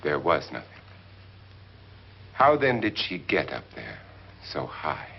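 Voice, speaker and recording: An actor playing a wealthy, icy, imperious middle-aged husband in a theatrical 1950s horror film style. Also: male voice, rumbling low, wildly swooping pitch, slow pace, gravelly, slightly rough, exaggerated voice. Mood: measured, suspicious. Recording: muffled 1950s film soundtrack with music.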